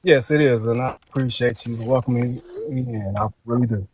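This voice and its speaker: A man's voice: mid-range voice, fairly even intonation, a little fast, a little mellow, husky. A man speaking earnestly and sincerely as a debut novelist being interviewed about his work.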